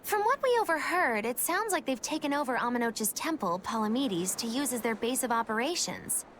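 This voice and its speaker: A woman's voice: a little high, animated, a little fast, a bit bright, slight background noise. An actress playing a matter-of-fact female companion in a video game cutscene.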